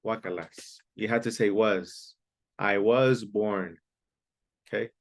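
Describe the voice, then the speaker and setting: A man's voice: on the low side, fairly even intonation, slow pace. A man teaching an online English class, patiently repeating key phrases and sometimes explaining in Spanish. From Mexico.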